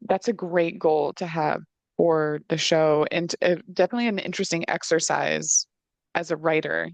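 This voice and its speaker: A woman's voice: low-pitched, steady tone, normal speed, gravelly, no echo. A young woman hosting a casual interview over a video call on a headset. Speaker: interviewer, show producer. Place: indoor room, on a video call.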